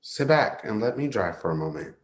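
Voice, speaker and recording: Halting, insecure young-lover type, swinging pitch man acting. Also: sing-song. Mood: gently taking charge. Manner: halting, self-interrupting. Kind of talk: staged play reading, naturalistic dialogue.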